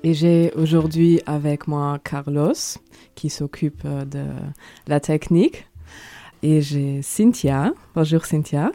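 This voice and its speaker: A woman hosting a podcast in a studio, talking hesitantly with many filler pauses. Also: deep voice, warm tone, dry recording, soft background music. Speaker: host, social worker and youth educator.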